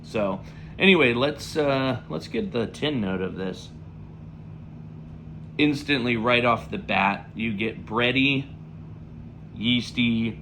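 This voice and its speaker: A man with a slightly raspy voice, still getting over an illness, talking through a pipe tobacco review in a relaxed, rambling way with lots of fillers. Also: mid-range voice, slow pace, long pauses, a little hiss or hum. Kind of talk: casual spoken review.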